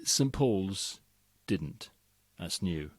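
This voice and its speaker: A man's voice: deep voice, lively intonation, extremely slow, no echo. A man reciting a playful, rhythmic poem aloud, with swooping emphasis on the punchlines. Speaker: reader of a competition entry. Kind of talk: poetry recital.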